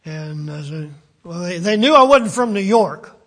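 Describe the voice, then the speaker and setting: Husky, halting West Virginian preacher. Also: male voice, high voice, lively intonation, a bit bright. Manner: halting, many fillers and restarts. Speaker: pastor. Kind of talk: sermon.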